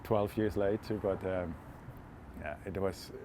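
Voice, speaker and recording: A man's voice: low voice, steady tone, measured pace, mellow, slight background noise. A man, talking casually as a guest in an interview about himself. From Switzerland. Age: 40s. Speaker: interview guest, freediving instructor.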